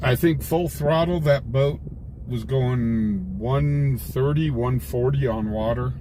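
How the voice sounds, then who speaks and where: A man's voice: medium pitch, slow. An American man chatting casually with livestream viewers from a car, telling rambling anecdotes. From United States. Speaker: livestream host, scanner-chasing reporter. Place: inside a car, live on patrol. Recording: raw livestream, loud vehicle noise.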